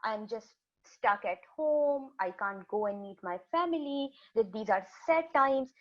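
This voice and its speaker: A woman whose first language is Urdu, giving a health presentation to students in a steady, explanatory way, often restarting and repeating words. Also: a little high, unhurried. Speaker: health educator presenting a webinar. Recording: dull lo-fi webinar audio.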